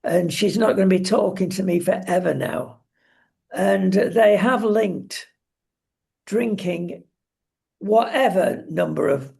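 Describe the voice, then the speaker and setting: A talk show presenter with a gravelly, husky voice, giving wry, opinionated takes in conversational talk about current affairs. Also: medium pitch, a little mellow.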